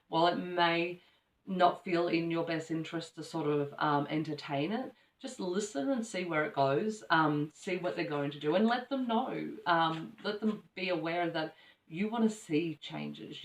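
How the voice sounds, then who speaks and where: A woman giving a casual tarot reading, rambling with many ums and restarts, her voice slightly croaky from allergies. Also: sits low. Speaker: tarot reader. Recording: echoey room from far off the mic.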